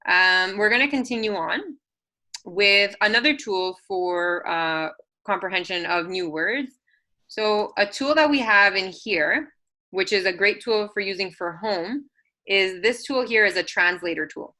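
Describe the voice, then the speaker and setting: A woman presenting an assistive technology webinar, walking parents through software tools with frequent ums and self-corrections. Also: medium pitch, unhurried.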